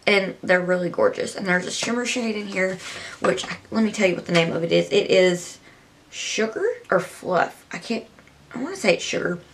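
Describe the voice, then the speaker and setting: A woman's voice: fairly low pitch, moderate pace. A young woman vlogging casually to camera from a small room, her voice scratchy from allergies, chatty and rambling. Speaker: beauty vlogger.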